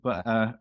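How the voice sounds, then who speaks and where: A man's voice: lowish voice, dry recording, muffled recording. A man talking casually as a guest in a podcast interview, explaining things in talkative detail. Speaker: podcast guest, speaker and coach.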